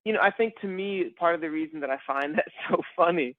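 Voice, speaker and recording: A man talks in loose, rambling bursts on a podcast, tossing in bro and man as he goes. His voice sits a bit high and sounds dry and close, squeezed into a narrow phone-like band. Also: quick pace, no room sound. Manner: rambling, animated, says bro and man a lot. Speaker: podcast co-host. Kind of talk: casual podcast chat.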